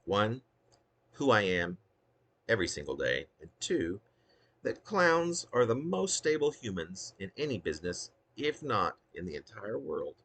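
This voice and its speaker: A man's voice: fairly low pitch, sing-song, a little slow, a bit bright. A man giving a dramatic, wry reading of a humorous autobiographical poem, with theatrical emphasis. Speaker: poet reading own work.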